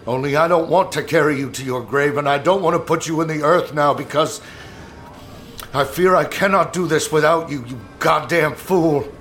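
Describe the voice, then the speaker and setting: An actor playing a grim, grieving, commanding Secretary of War in a historical audio drama, with formal, bitter, deliberate oratory. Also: male voice, fairly high pitch, fairly bright tone, faint music behind. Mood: anguished, angry.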